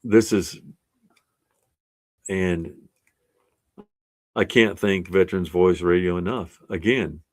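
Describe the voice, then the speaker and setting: An older man in his sixties with a very low, deep voice talks slowly and unhurriedly to his class. He sounds earnest and plainspoken as an art resilience instructor, encouraging his listeners in a casual way. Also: a little mellow.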